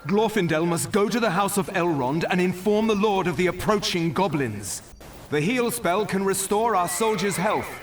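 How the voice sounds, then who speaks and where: A high, urgent male battle advisor briefs a fantasy army in a strategy game. The voice sounds set back from the mic, with loud noise all around it. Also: expressive, normal speed, pauses often, recorded from a distance. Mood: instructive.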